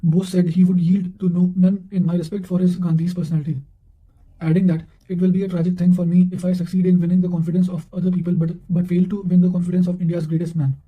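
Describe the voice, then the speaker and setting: A man reading study notes and quotations aloud in a measured way, occasionally stumbling over a word. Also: high voice, little pitch movement, dark tone, poor audio. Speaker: narrator of study revision notes.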